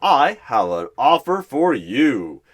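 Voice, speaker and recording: A man playing a boastful, over-excited job recruiter who announces interview lines like a game show host in a roleplay. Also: mid-range voice, slow pace, pitched above the performer's own voice. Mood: gleeful announcing.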